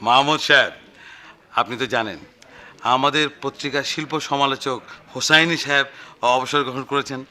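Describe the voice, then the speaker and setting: A man's voice: medium pitch, normal speed, long pauses, sharp tone. A man playing a fussy, ingratiating, scheming newspaper sub-editor in a radio play, effusive and roundabout in his talk. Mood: scheming, persuasive. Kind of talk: 1990s radio play acting.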